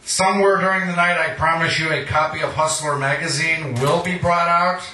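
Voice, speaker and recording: Bright-voiced male host in a very echoey room. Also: a little high, fairly even intonation, moderate pace, a little hiss or hum. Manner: mock-formal, joking. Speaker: poetry night host. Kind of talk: informal joking stage introduction. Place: live poetry reading at a cafe. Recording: echoey room, distant microphone.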